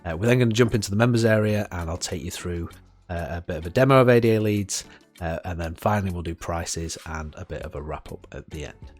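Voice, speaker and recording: Deep-voiced man with a cold. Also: fast talker, soft background music. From United Kingdom. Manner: chatty, frequent "uh" fillers and restarts. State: has a head cold, sniffling. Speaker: product reviewer. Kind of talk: casual product review to camera.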